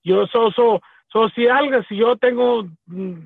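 A man speaking haltingly and repeating his words in casual radio chat, over a thin phone line. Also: very high voice, vocal fry, dry recording.

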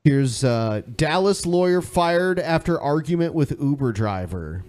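A man's voice: on the high side, lively intonation, a little slow, a little mellow. A man co-hosting a podcast, giving informal, sweary commentary in casual conversation. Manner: profane, informal. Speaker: podcast co-host.

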